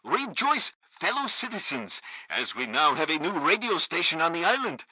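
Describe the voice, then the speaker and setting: Gleefully loyal state radio host: bright, forceful, swooping delivery, pompous and sycophantic, game news satire. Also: male voice, a little high, sing-song, normal speed, slightly rough, no echo, heavily acted, poor audio. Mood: grandiose, fawning. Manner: grandiose, sycophantic.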